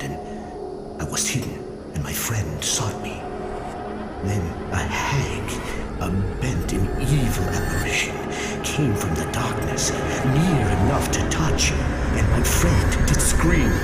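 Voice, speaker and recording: A man playing a grave, haunted, aging holy man in a fantasy game, with solemn archaic speech that is often breathy and hushed. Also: deep voice, exaggerated voice. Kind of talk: dramatic fantasy game acting. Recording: echoey room, loud music behind.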